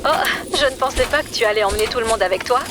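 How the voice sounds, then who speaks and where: A woman's voice: a little high, rapid-fire, loud music behind the voice, lightly acted. An actress playing a wry, businesslike woman mission coordinator in a French audio adventure, teasing but focused.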